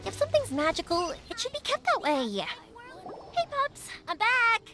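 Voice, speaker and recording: An actress voicing a bubbly, kind-hearted young fireworks maker in a video game, with a breezy, informal, expressive manner. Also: female voice, very high voice, unhurried, music in the background, full character voice. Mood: wistful, then cheerful. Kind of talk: video game character acting.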